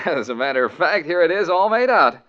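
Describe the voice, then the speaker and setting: An actor playing a wry, glib, opportunistic private problem-solver in a 1940s radio comedy-drama, on a muffled vintage recording. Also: male voice, fairly high pitch, very animated, normal speed, pushed and bright. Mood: sly, amused.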